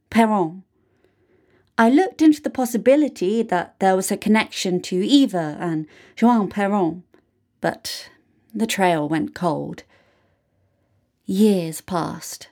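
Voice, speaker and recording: Slow, deliberate female story reader. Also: mid-range voice, warm tone. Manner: deliberate, with dramatic pauses. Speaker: reader of a written true story. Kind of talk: scripted first-person narration.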